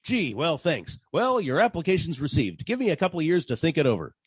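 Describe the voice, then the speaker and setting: Man playing a husky, wisecracking private eye. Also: a little high, very animated, brisk, no room sound, heavily acted. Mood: sardonic, dismissive. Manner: dry, sarcastic, exasperated. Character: weary, wisecracking male private detective, sarcastic boss. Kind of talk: 1940s radio detective comedy acting.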